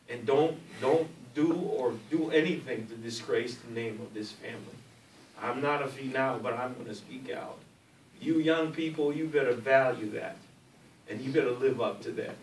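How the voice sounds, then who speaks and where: An older man, a grandfather, giving a blunt, admonishing speech to his family at a reunion, repeating his warnings. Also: mid-range voice. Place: large room at family gathering. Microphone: distant from recorder. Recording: echoey room, distant, noisy.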